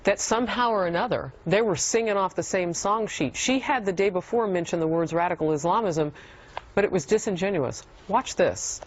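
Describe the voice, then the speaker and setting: A woman with a lowish voice moderates a studio news show, moving the talk along with brisk handoffs. The audio is muffled and lo-fi, as off a broadcast. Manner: brisk, steering, teases upcoming stories. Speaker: panel host.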